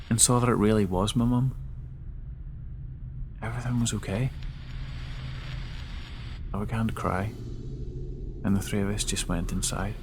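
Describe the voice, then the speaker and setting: A man giving a dramatic first-person narration of a scary story, with halting, emotive delivery. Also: lowish voice, slight background noise. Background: background music.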